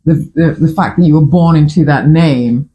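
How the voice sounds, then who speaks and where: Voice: medium pitch, moderate pace, warm tone. A host in their fifties from Fiji, speaking with a Fijian accent in a casual, opinionated morning chat, full of restarts and the odd swear word. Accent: Fijian English. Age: 50s. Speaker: podcast host.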